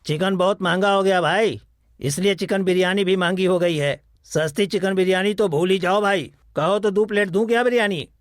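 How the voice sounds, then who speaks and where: A scheming village food seller for an animated moral tale, acted by a man. The voice is high and very dry. He is greedy and folksy, with an exaggerated storybook delivery. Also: moderate pace, dry recording, close to an everyday voice. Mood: defensive.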